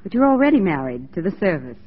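An actress playing a spirited young frontier nurse, teasing, tender and self-possessed, in a 1940s radio drama. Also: female voice, fairly low pitch, very animated, fast, husky. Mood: tender, firm. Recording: dull 1940s radio recording.

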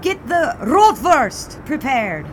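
A woman's voice: sits high, big pitch swings, slow pace, full character voice. An actress playing a self-assured, teasing 1920s lady newspaper editor in a period audio drama. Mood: brisk, poised. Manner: arch, teasing. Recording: clear outdoor street and car noise.

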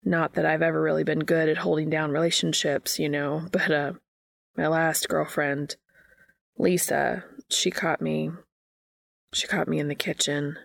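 An embarrassed man in an audiobook confesses to his support group, as read by a woman. He is hesitant and stammers sheepishly. The voice is low and crackly, recorded very dry. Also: little pitch movement, measured pace, vocal fry, dry recording, exaggerated voice. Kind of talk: audiobook character dialogue.

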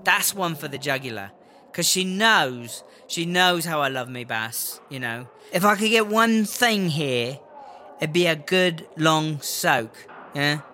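A jealous, exasperated prisoner played by a man chats away in a bright, sharp voice, pitched a little high. The manner is gossipy and sardonic, like a comedy audio drama monologue, with faint ambience behind. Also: lively intonation, slow pace, a little hiss or hum, heavily acted. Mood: wistful. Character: jealous, exasperated prison inmate pining for comforts.

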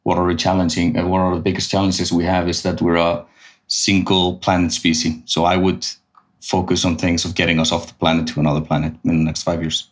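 A man's voice: low voice, completely flat delivery, a little fast. A man being interviewed as a podcast guest, thinking aloud and often restarting his sentences. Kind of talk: casual business interview.

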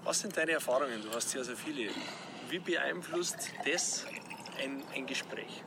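A man with a Bavarian accent hosting a podcast, asking questions in a measured way. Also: mid-range voice. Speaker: podcast host. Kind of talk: podcast interview. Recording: background traffic noise.

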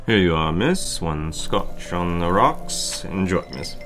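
A man's voice: sits low, animated, takes it slowly, a bit bright, soft background music, heavily acted. A man playing a polite, deferential waiter in an audio drama, formal and courteous. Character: polite, deferential male waiter at country-club restaurant.

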